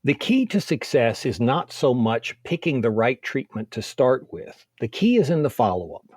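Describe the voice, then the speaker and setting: A man speaking as a physician in an interview, explaining things methodically in measured, orderly points. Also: medium pitch, sing-song, darkish tone, husky, no room sound, clean studio sound. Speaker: physician, expert interview guest.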